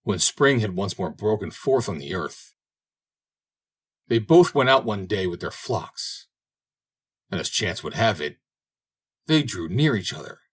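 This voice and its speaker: Male audiobook narrator for fairy tales: husky, highly animated, theatrical storyteller. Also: measured pace, long pauses. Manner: dramatic, expressive storytelling. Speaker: audiobook reader. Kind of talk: scripted fairy tale narration.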